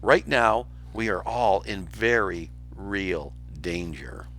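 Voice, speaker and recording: A man's voice: deep voice, expressive, slow, a bit bright, faint music behind, full character voice. A man voicing a fussy, alarmed male bird guardian, squawking and insistent, in a children's storybook reading. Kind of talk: children's storybook read aloud.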